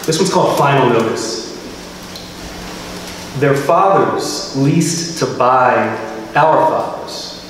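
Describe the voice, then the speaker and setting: An American man reading his poetry at a live event, with measured, deliberate phrasing. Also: medium pitch. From Philadelphia, United States. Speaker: poet reading own work. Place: live reading venue, indoors. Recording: very echoey hall, distant mic, faint noise.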